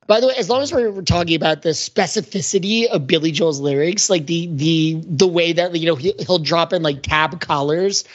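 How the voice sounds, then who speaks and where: A man's voice: on the high side, brisk, few pauses. A man talking casually as a podcast panelist about pop culture, in a wordy, rambling, analytical way. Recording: dull lo-fi audio.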